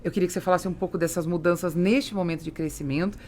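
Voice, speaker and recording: Podcast host: Brazilian woman, slightly low voice, conversational interviewing style, quick to affirm her guest. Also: fairly even intonation, a little mellow, a little hiss or hum. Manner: affirming, interjecting short agreements.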